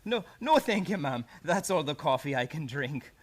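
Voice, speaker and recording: A man's voice: fairly high pitch, big pitch swings, moderate pace. An actor playing a friendly, folksy, talkative policeman in a radio play. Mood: eager. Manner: eager, folksy, chatty.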